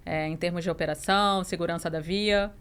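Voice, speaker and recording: A woman interviewing a guest, giving frequent small agreements and asking halting, conversational questions. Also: lowish voice, steady tone, fairly bright tone, clear, resonant tone.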